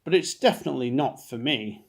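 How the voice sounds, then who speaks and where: An itchy, grumbling father gorilla from a children's storybook, acted out by a man reading aloud. The voice is gravelly and creaky, and the lines land with emphasis. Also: mid-range voice, animated, a little fast. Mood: firm refusal.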